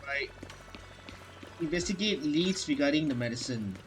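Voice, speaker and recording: A man's voice: fairly high pitch, animated, normal speed, faint music behind, rough recording. A man giving casual commentary as he reacts to a video game story. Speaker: gaming reaction host.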